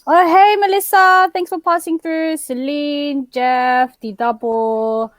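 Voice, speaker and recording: Female stream co-host, fairly high voice, easygoing slow delivery, informal and playful. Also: no echo. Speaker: co-host of a livestream talk show. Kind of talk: casual unscripted livestream chat.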